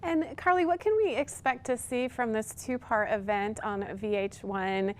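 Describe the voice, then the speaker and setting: An upbeat woman hosting a TV interview, putting prepared questions in a measured way. Her voice sits somewhat high.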